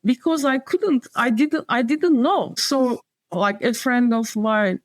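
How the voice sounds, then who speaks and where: A woman's voice: a little high, takes it slowly, no room sound. A woman with a Turkish accent, a designer and publisher talking as an interview guest, hesitant and circling back to her point. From Turkey. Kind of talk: casual interview conversation.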